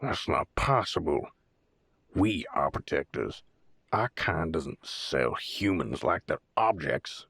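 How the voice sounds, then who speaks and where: A man's voice: a bass voice, sing-song, slow, gravelly, a bit bright, slightly rough, dry recording, exaggerated voice. A man playing a brash, proud werewolf brother in an audio roleplay, with a blunt manner. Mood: disbelieving.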